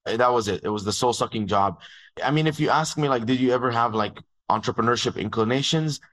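A young man from Dubai, telling his agency story in a fast, casual way full of "like"s. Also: medium pitch, a bit bright. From born in Egypt, grew up in Dubai. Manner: fast, filler-heavy talk with many "like"s, acts out dialogue. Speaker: agency founder, guest.

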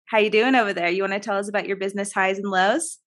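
A woman's voice: medium pitch, fairly even intonation, fast, fairly bright tone. A young woman co-hosting a podcast, chatting in an upbeat, encouraging way. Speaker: podcast co-host. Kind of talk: casual chat.

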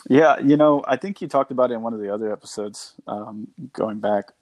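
A man's voice: medium pitch, a little fast, a little mellow, dry recording. A man talking informally and conversationally as a guest on a podcast. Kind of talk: casual chat.

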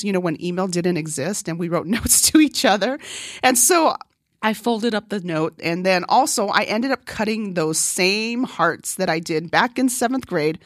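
A woman's voice: on the low side, no room sound, studio quality. A middle-aged woman from Guam, whose first language is Korean, hosts a podcast and tells a personal story in a chatty way, laughing often. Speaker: podcast host.

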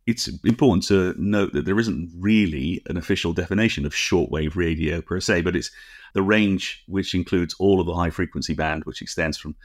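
A man's voice: low voice, moderate pace, dry recording, clean studio sound. A man co-hosting a podcast, explaining technical things conversationally in a nerdy, precise, digressive way. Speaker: podcast co-host.

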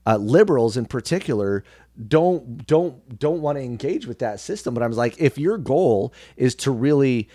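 A man's voice: mid-range voice, lively intonation, normal speed, mellow, dry recording, studio quality. A man chatting casually as an interview guest, often searching for his words and repeating them. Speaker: podcast guest, radio host.

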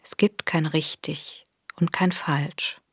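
A woman leads a guided body scan in a soft, breathy voice. Her delivery is very slow, gentle and soothing, with a slightly low pitch. The recording is close and dry. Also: steady tone, dry recording, in a whisper. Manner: gentle, soothing, unhurried instruction with long pauses. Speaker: meditation guide. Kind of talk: guided body scan meditation, scripted narration.

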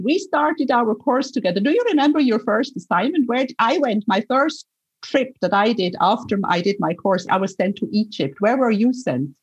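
A woman who once worked as a tour guide, chatting and reminiscing casually, lively and full of questions. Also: medium pitch, slightly rough, lo-fi sound. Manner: lively, asks questions, animated.

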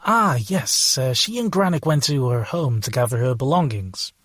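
Husky, formal alien man. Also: mid-range voice, big pitch swings, moderate pace, a bit bright, no room sound, studio quality. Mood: businesslike. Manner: formal, explanatory. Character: purposeful, formal male alien inventor planning a heist. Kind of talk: audio drama acting.

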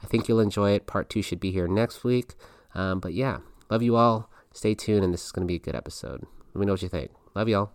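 A man's voice: fairly low pitch, a little fast. A man hosting a podcast in a chatty, giggly way, with plenty of ums. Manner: chatty, giggly, lots of fillers. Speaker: podcast host. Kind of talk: casual podcast chat.

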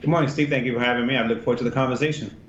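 A man's voice: steady tone, fairly bright tone. Hesitant man on a roomy, dull line. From Ethiopia. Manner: halting, many fillers and restarts. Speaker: congressional candidate guest. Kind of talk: interview answers. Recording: roomy, dull remote line with faint rumble.

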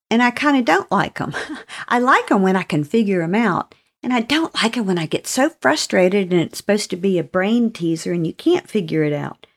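A woman's voice: fairly low pitch, vocal fry. A woman author speaking casually and chattily as she explains her stories with enthusiasm. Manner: chatty, informal. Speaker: author and segment host.

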